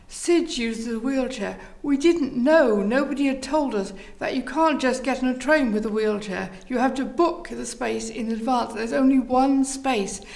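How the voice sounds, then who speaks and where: A woman speaks in a fairly high voice with a strong creak running through it. She answers an interviewer, explaining and telling stories as someone who leads a theatre group. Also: darkish tone.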